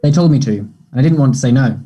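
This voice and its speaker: A man acting a guarded, quietly kind teenage schoolboy in a table read, speaking in a terse, understated way. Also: mid-range voice, animated, fast talker, breathy edge, muffled recording. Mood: gentle, concerned. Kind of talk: play table read, naturalistic dialogue.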